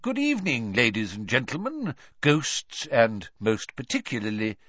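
A man voicing a genial old headmaster wizard who welcomes guests warmly and ceremoniously, on a dull, dry recording. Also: medium pitch, huge pitch swings, unhurried, dry recording, full character voice. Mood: welcoming. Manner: warm and ceremonious.

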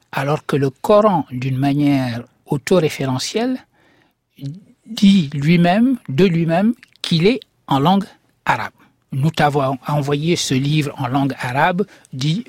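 A middle-aged man speaks as a guest scholar, laying out his points in a measured, professorial way. His voice is husky and sits at a middle pitch. The studio sound is close and dry. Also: darkish tone, no room sound. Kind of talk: explanatory interview answers.

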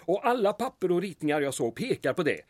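An actor playing a courteous, beleaguered small-town mayor in a radio drama, with a formal, wordy and drily ironic manner. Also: male voice, high voice, sing-song, darkish tone, no echo. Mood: worried, reasoning.

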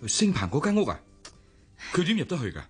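A man's voice: a little high, huge pitch swings, fairly quick, breathy edge, full character voice. An actor in a Cantonese radio drama playing a curious, teasing married man with a Hong Kong accent. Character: curious, teasing married father, brother-in-law.

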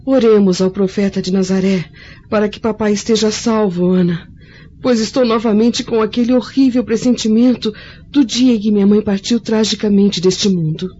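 A woman's voice: medium pitch, steady tone, normal speed, mellow, close to an everyday voice. An actress with a Brazilian accent playing a frail, pious, anxious blind lady in a plaintive radio drama style. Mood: prayerful, fearful. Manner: plaintive, theatrical. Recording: dull lo-fi radio recording, faint noise.

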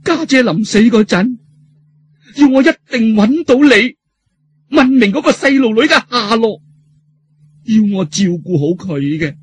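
A man's voice: very high-pitched, animated, a little slow, long pauses, breathy edge, dry recording, voice pushed up, heavily acted. A man voicing a vengeful masked woman in Cantonese, mocking and relentlessly accusing in an audiobook drama. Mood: angry, accusing. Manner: mocking, interrogating.

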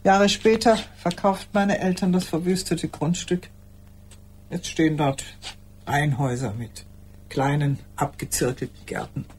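An actress playing an old woman in a care home, speaking a radio drama monologue in a deliberate, formally polite way to a silent listener. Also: deep voice, darkish tone, a little hiss or hum. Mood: sober, remembering. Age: older.

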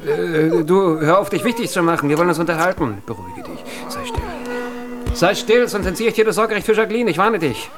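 An actor playing a contemptuous courtier who insults as he flatters, florid and biting, in an absurdist radio drama. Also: high-pitched, fairly quick, music in the background. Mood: sharp warning.

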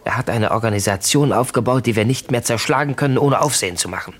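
An actor in a German radio play voicing a cool, calculating gangster who reports in clipped, matter-of-fact sentences. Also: male voice, mid-range voice, fast talker, lightly acted. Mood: cool, grave.

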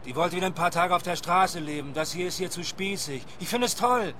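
An actor playing a young, self-important bohemian painter in a German-language radio play, breezy and conceited. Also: male voice, high voice, a little fast, pushed and bright, a little hiss or hum. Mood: enthusiastic, boastful. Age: young adult.

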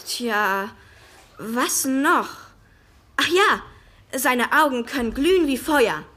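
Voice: high-pitched, lively intonation, takes it slowly, pushed and bright, heavily acted. An actor voicing an eager schoolboy who shows off about his superhero in a children's radio play. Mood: matter-of-fact.

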